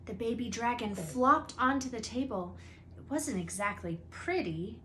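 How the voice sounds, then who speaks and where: Expressive woman reading a story aloud. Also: on the high side, unhurried, slight background noise. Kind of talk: storybook narration read aloud.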